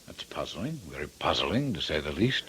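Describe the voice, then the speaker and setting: Earnest, dramatically swooping radio gentleman. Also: very deep voice, sing-song, husky, full character voice. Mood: puzzled, grave. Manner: urgent, formal, dramatic pauses. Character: concerned, earnest gentleman friend, probing and insistent. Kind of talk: old-time radio drama acting. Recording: old radio drama recording.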